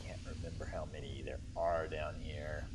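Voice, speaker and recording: A man's voice: a bass voice, level tone, normal speed. A man hosting a let's play, casually commentating and explaining his tactics as he plays. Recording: clear game noise behind voice. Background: video game sound effects.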